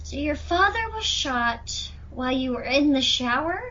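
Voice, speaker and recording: A woman's voice: high-pitched, lively intonation, normal speed, noisy background. A woman acting a young female defense attorney in an amateur courtroom audio drama, with pointed, theatrical questioning. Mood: probing. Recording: dull lo-fi audio.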